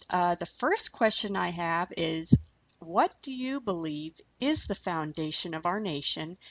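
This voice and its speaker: Lowish-voiced woman radio host, dull dry sound. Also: vocal fry, fairly bright tone, no echo. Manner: polished announcer delivery. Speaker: radio talk show host. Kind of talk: radio hosting, scripted intro and interview.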